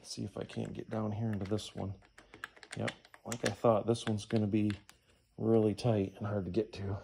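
Low, gravelly man muttering as he works. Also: level tone, moderate pace, heavy background noise. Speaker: DIY engine rebuilder giving a how-to. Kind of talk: casual hands-on tutorial, talking while working. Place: indoor garage workshop.

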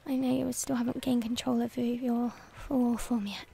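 A woman playing a shy, devoted young werewolf girlfriend in an intimate ASMR roleplay, speaking hesitantly and tenderly in a breathy voice that often drops toward a whisper. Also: a little high, flat delivery, dark tone, slight background noise. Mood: soft, expectant. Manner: hesitant, tender, halting delivery.

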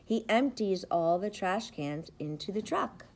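A middle-aged woman, a preschool teacher, reads a picture book aloud to young children with expressive, rhythmic, sing-song phrasing. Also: medium pitch, a little slow. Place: indoors, school classroom. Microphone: device camera at about arm's length.

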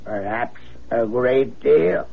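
A man playing a sinister, mysterious demon stranger in a radio mystery, slow and cryptic, with a gravelly, husky voice. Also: medium pitch, full character voice. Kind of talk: radio mystery drama acting. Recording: dull old radio recording.

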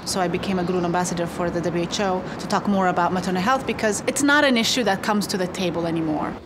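A woman's voice: on the low side, quick pace. A woman from Ethiopia, a model, talking earnestly and conversationally in an interview. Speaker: fashion model. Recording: noisy ambience.

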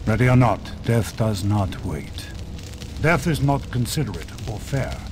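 An actor playing a stern mentor of a secret warrior order, formal, measured and didactic. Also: male voice, on the low side, expressive, over music. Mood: stern, instructing. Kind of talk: scripted game dialogue.

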